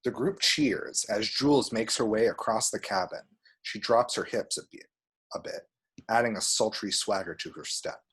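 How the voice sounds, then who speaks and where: A man reading screen directions aloud as expressive scripted narration. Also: on the low side, moderate pace, husky. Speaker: reader of action descriptions.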